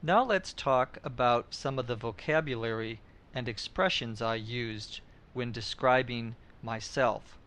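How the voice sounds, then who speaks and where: A middle-aged man from Minnesota hosting an English lesson podcast, speaking clearly and deliberately as he explains expressions. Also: mid-range voice, fairly bright tone. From Minnesota, USA. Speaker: podcast host and English teacher. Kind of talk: scripted English lesson for learners.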